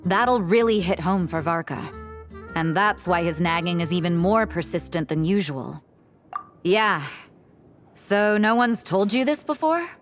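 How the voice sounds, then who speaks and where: A woman's voice: medium pitch, natural voice. A woman voicing a cool, blunt young nun in a video game, with a dry, matter-of-fact delivery.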